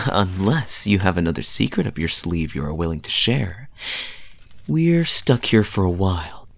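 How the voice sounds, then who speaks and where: A man playing a charming, teasing young fantasy knight in an intimate audio roleplay, telling stories to the listener in a soft, breathy voice. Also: low-pitched, big pitch swings, heavily acted. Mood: teasing, amused. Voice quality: soft, husky, breathy at times. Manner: smooth-talking, teasing, unhurried storyteller with dramatic pauses.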